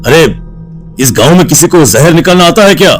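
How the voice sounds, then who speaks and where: A man's voice: on the high side, lively intonation, a little fast, faint music behind, close to an everyday voice. A man playing worried village men in a dramatised moral story, anxious and dramatic.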